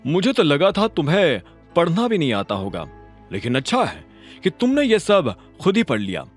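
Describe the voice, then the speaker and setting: A man's voice: a little high, huge pitch swings, normal speed, faint music behind. A man voicing an arrogant, hot-tempered company manager in an audio drama, pompous and condescending. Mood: smug. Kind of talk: Hindi audio drama acting.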